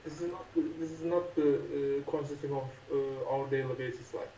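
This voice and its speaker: A man in his twenties from Turkey, talking haltingly and searching for words in a casual online conversation practice. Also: mid-range voice, fairly even intonation, dark tone, slight background noise. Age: 20s. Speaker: conversation partner. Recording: distant, echoey room with faint ambience.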